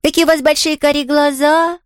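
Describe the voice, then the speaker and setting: Woman playing a high-pitched, eager little girl. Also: fairly quick, dry recording, studio quality, lightly acted. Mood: admiring. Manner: eager, courteous, artless. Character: polite, chatty, cheerful little girl. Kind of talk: audiobook character voice.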